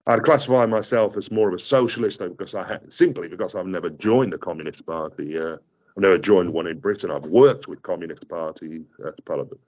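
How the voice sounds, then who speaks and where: Very deep-voiced man, hesitant and halting. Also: a little mellow. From South Africa. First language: Greek. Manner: hesitant, many fillers and restarts. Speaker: guest. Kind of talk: casual interview talk.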